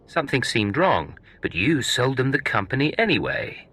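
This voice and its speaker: An actor playing a cool, deceitful secret agent in a video game, with a curt and threatening manner. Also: male voice, mid-range voice, very animated, moderate pace, fairly bright tone, husky. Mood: cold, threatening.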